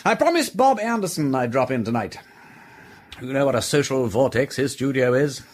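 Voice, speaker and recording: An actor in a radio drama playing a genial, witty gentleman detective with an upper-class English accent, breezy and given to musing aloud. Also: medium pitch, animated, normal speed, close to an everyday voice. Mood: conversational. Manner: breezy, whimsical, musing aloud, old-fashioned society slang.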